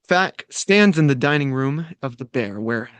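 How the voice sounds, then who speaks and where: A man with a middling pitch reads stage directions as scripted narration. The sound is close and dry. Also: animated, measured pace, clean tone, no echo.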